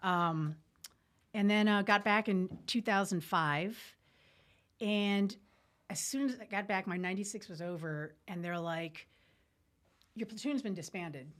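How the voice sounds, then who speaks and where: A woman's voice: mid-range voice, a little slow, pauses often, fairly bright tone. A woman telling a story informally as a podcast guest, candid and colloquial, with military jargon.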